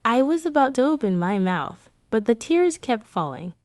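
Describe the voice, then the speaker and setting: A woman's voice: on the high side, big pitch swings, moderate pace, darkish tone, dry recording. Woman narrating a romance story, dry close sound. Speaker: narrator of a romance comic dub. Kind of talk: scripted first-person story narration.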